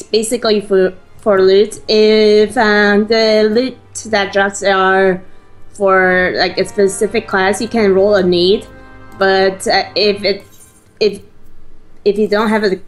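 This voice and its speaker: A woman co-playing a game and chatting casually, explaining things in a hesitant, halting way. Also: medium pitch, rough recording. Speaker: gaming co-player. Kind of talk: casual gameplay chat.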